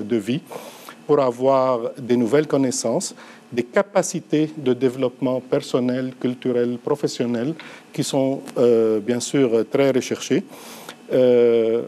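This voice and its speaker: A middle-aged man from Lebanon, an Arabic speaker, giving an earnest, measured conference talk at a university. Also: mid-range voice, dark tone.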